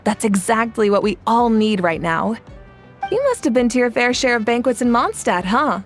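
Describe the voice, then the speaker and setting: An actress voices a hearty, banquet-loving woman of a fantasy tribe. Her voice sits a little high and has an easy, good-natured familiarity. Game music plays faintly underneath. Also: moderate pace, close to an everyday voice. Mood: jovial, admiring. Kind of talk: video game voice acting.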